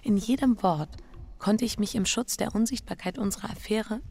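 A woman's voice: mid-range voice, moderate pace, darkish tone, lightly acted. An actress playing a restless, introspective wife confessing her affair in an intimate radio drama. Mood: introspective, subdued. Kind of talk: intimate first-person radio drama acting.

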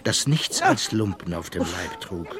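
An actor playing a wistful older brother who narrates a fairy-tale adventure in a children's radio play, measured and expressive. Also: male voice, low voice, full character voice. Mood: grave. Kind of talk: scripted first-person storytelling in children's radio play.

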